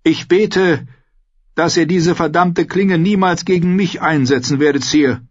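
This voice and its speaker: Man acting a high-voiced, deferential knight. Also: measured pace, dull audio. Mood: mock pleading. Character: wary, pleading adult knight. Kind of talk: fantasy audiobook character acting.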